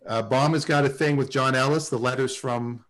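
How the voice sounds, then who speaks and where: A man hosting a relaxed group chat over a video call, speaking conversationally. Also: medium pitch, a little fast. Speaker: host of an online show.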